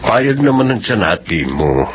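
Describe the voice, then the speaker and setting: A man's voice: on the low side, big pitch swings, a little slow, dull audio, a little hiss or hum. A man acting a stern, protective, resentful middle-aged uncle in a Filipino radio drama, firm and advising. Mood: solemn, concerned.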